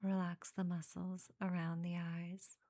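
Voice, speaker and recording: A woman guides a meditation in a soft, breathy near-whisper. Her delivery is very slow and evenly pitched, with gentle short phrases separated by pauses. The tone is dark and mellow, made to soothe. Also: flat delivery, no room sound.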